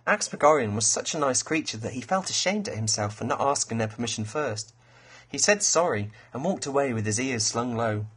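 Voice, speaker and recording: A man's voice: mid-range voice, a bit bright, husky. A man, an author reading his children's fairy tale as a storyteller.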